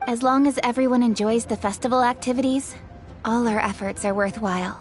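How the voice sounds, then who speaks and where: Woman playing a poised, higher-voiced young official. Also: steady tone, a little fast, close to an everyday voice. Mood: kindly. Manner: composed, articulate, polite. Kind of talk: video game character acting. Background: faint music.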